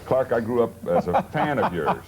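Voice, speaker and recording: A man's voice: medium pitch, lively intonation, normal speed, a little mellow. A man hosting a talk show in a casual, folksy and jocular manner. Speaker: television talk show host. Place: television studio. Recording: hissy 1970s TV broadcast audio.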